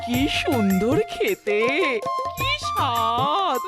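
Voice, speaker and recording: A woman voicing a mischievous old grandmother, the younger sister, with a squeaky, whiny, teasing voice. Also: sing-song, drawn-out words, full character voice. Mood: gloating. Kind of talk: cartoon voice acting. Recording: cartoon soundtrack with music.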